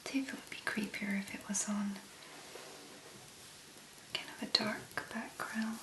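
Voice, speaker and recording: A woman speaks in a breathy, hushed near-whisper, as an ASMR host would. Her delivery is slow and very level, laid out in short murmured phrases. The sound is roomy, with a noticeable echo around her voice. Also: medium pitch, steady tone. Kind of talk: soft-spoken ASMR make-up tutorial.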